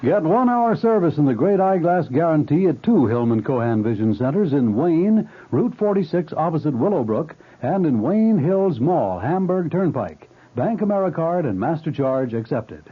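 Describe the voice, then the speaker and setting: A man's voice: a little high, big pitch swings, moderate pace, few pauses. A man announcing a scripted 1970s radio commercial with punchy, emphatic selling. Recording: tinny old radio broadcast with music.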